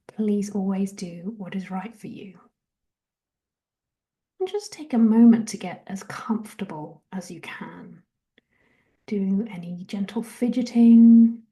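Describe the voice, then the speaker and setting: A woman leading a guided meditation in a soft, gentle, breathy half-whisper, giving soothing body scan instructions. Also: mid-range voice. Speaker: guided relaxation and meditation host.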